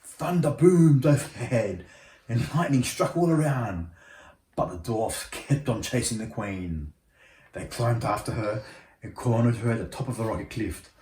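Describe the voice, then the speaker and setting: A man's voice: mid-range voice, expressive, a little slow, warm tone. A man reading a storybook aloud like a father at bedtime, occasionally stumbling over the words. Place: indoor room at home. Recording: roomy home recording, faint noise.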